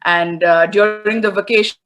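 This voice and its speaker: A woman's voice: medium pitch, fairly even intonation, normal speed, fairly bright tone, slight background noise. A woman from India speaking as a panelist on a video call, thoughtful and hesitant, with many fillers and restarts.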